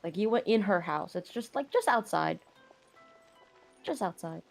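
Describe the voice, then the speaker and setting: Female game streamer: lively, swooping delivery, giggly casual banter, faint music behind. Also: medium pitch, unhurried, gravelly. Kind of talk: casual chat while gaming.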